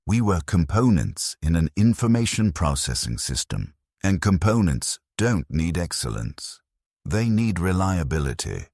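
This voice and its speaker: A man narrating a scripted first-person story in a measured, grave manner. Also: low-pitched, very animated, darkish tone, breathy edge, dry recording, studio quality.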